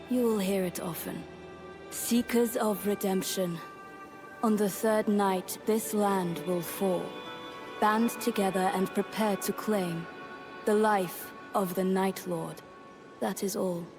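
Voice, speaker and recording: A woman playing a solemn, composed priestess in a video game, speaking in a formal and measured way. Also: mid-range voice, fairly even intonation, over music. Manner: formal, measured, grave delivery. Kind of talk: scripted video game dialogue.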